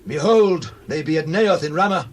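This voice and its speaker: A man's voice: on the high side, expressive, normal speed. An actor playing a deferential messenger reporting to a king in a dramatized Bible reading. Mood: announcing.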